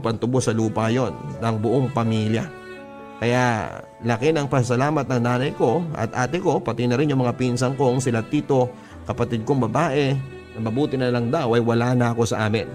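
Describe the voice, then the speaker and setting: A man's voice: medium pitch, a little mellow. A man with a Filipino accent reading listener letters as a radio storyteller and host. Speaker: host and narrator of a story show. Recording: background music under the voice. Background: music.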